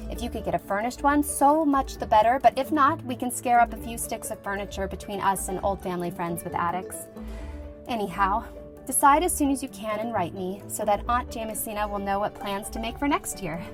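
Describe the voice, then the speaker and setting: A woman playing a lively young schoolteacher reading out her letter in a conversational, wryly humorous way, in an acted audiobook. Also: medium pitch, fairly quick, close to an everyday voice. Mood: eager, friendly. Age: young adult. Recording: music playing behind the voice.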